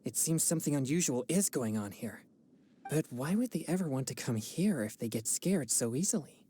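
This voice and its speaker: A woman voicing a polite, earnest young exorcist in a video game, speaking in a measured way. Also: very deep voice, lively intonation, mellow, a little hiss or hum. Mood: thoughtful. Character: earnest young female exorcist hunting evil spirits. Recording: game audio with background music.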